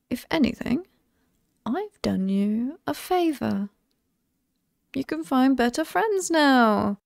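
Creaky, chatty British slasher villainess acted by a woman. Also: a little high, darkish tone, no echo, studio quality, full character voice. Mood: friendly, encouraging. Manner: conversational, wry, hesitant asides. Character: chatty, oddly sweet female slasher killer, apologetic and upbeat. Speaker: ASMR roleplay performer. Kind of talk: ASMR villain roleplay monologue.

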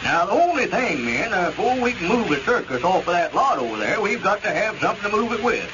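An actor playing a glib, scheming country squire with a rural Southern accent in old radio comedy. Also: male voice, high voice, very animated, quick pace. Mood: persuasive. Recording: muffled, noisy old radio recording.

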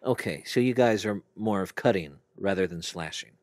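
A man making a prank phone call with deliberate, creepy insinuation. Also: sits low, a little mellow, dry recording. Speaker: prank caller. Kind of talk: prank phone call in character as a film slasher.

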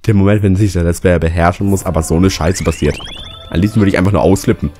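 A young man with a slightly hoarse, cold-worn voice, commentating a fighting game and ranting with lots of swearing. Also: low voice, fairly even intonation, fast. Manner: swears a lot, rants and shouts at the game. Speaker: let's player. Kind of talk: gaming commentary. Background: game music.

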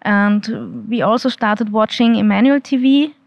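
Halting woman giving a testimony. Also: a little high, vocal fry, dry recording, dull audio. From Austria. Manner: earnest, halting, many restarts and fillers. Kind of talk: unscripted testimony. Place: indoor church hall. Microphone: handheld mic held by interviewer.

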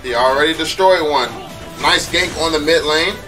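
A man's voice: on the high side, normal speed, distant mic. A young man with a congested voice giving laid-back, slangy reaction commentary on a mobile game as a YouTuber, speaking into a headset. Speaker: gaming YouTuber reacting to gameplay. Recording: game audio and music behind, a bit off-mic.

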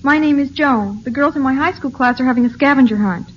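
An actress playing an eager, polite high school girl in old-time radio comedy. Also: fast talker, natural voice. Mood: earnest, eager. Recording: muffled, noisy old radio recording.

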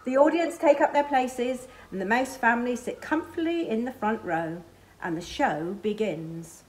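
A middle-aged woman reading a picture book aloud to children, expressively, pausing to point out the pictures. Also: fairly high pitch, animated, darkish tone, low-quality recording. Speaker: library storyteller. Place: small library children's corner, indoors.

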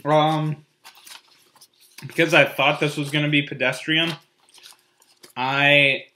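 A man's voice: on the high side, little pitch movement, takes it slowly, sharp tone, slight background noise. A man in his twenties co-hosting a casual snack review at home, throwing in wry joking asides on a webcam. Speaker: co-host. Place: small indoor room at home.

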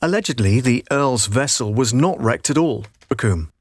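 A man's voice: medium pitch, animated, normal speed, a little hiss or hum. A middle-aged man reading scripted documentary-style narration into a close studio microphone in a booth. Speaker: voiceover artist. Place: voiceover booth.

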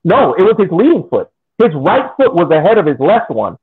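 A man's voice: high-pitched, very animated, fairly quick, husky. A man co-hosting a soccer podcast over a video call, debating casually and argumentatively with hypothetical questions. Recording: thin phone-like call audio.

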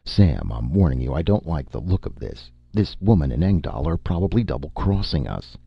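A man voicing a jumpy, suspicious male creature with an eye stalk, husky and gravelly. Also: very deep voice, very animated, normal speed, no echo, heavily acted. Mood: alarmed. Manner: anxious, suspicious warning. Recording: dull lo-fi recording.

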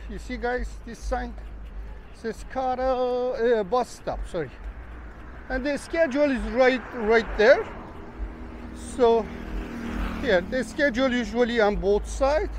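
Man, travel vlogger, unhurried chatty rambling, outdoor street, cars passing clearly behind. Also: very high voice. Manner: rambling, chatty, addresses viewers as guys. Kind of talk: casual walking vlog. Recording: street traffic noise behind.

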